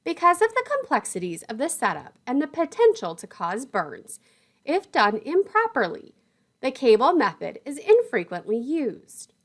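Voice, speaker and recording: A woman delivers a scripted technical lesson in a fairly high voice. Her pitch moves in a lively way from phrase to phrase. The wording is formal and the terms are spoken with care, as a lecturer would. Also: normal speed. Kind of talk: scripted instructional narration.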